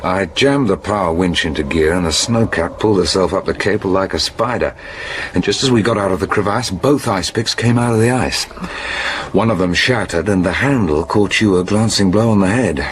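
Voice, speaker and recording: A man's voice: deep voice, moderate pace, a little hiss or hum, natural voice. A man acting in a radio drama as an earnest, protective polar ice scientist who explains things methodically. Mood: explaining.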